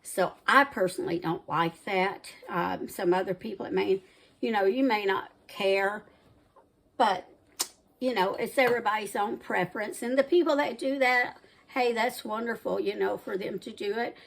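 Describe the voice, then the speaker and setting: Gravelly-voiced Southern woman in her sixties. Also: mid-range voice, normal speed, poor audio. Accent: Southern US mixed with Midwestern. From Southern United States. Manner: rambling, jumps between side stories. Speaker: home cooking channel host. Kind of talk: casual chatty cooking vlog talk.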